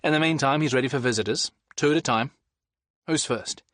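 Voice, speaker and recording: A man voicing a caring young male doctor who talks brisk and friendly. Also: medium pitch, fairly bright tone, no room sound, voice pushed up, close to an everyday voice. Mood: reassuring.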